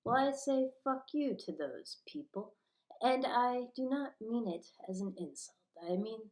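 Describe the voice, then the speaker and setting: A woman's voice: on the low side, a little mellow, reverberant. A woman delivering a rambling, profane rant that jumps from topic to topic in an agitated manner.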